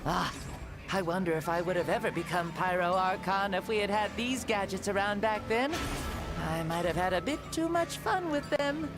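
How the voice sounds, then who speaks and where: A woman's voice: medium pitch, normal speed, natural voice. A woman voicing a playful, curious ancient hero in a youthful body for a video game, with a teasing, amused manner. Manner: teasing, amused, wonder-struck. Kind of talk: video game character acting. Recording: game music behind the voice.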